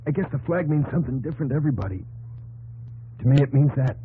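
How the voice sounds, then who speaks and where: An earnest young soldier played by a male actor speaks plainly and haltingly in an American accent. The style is 1950s radio drama, heard through a narrow, faintly humming old recording. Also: mid-range voice, animated, slight background noise, natural voice. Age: young adult.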